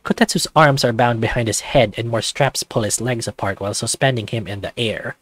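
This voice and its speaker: A man's voice: medium pitch, a little fast, a bit bright, dry recording. A man reading a visual novel aloud for his channel, with casual, hesitant asides. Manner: casual, hesitant, frequent "uh" fillers. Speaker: YouTube visual novel reader.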